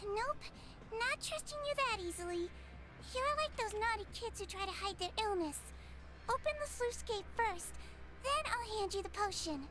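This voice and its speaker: A woman voicing a tiny, perky, spirited female video game character in a cutesy, expressive way. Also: very high-pitched, moderate pace, over music. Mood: firm, defiant.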